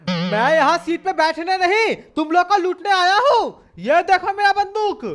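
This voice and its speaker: A man's voice: very high-pitched, expressive, unhurried, fairly bright tone, soft background music, exaggerated voice. A man voicing a blustering, impatient cartoon bandit who gives menacing commands on a train. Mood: threatening. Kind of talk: exaggerated cartoon dialogue.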